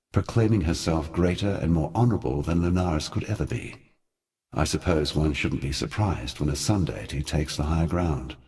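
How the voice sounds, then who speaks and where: An ancient fallen deity with an extremely low voice. He speaks like a weary, eloquent schemer from a fantasy game, every phrase grave, archaic and measured. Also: a little mellow. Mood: wry disdain. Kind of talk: theatrical scripted fantasy game dialogue.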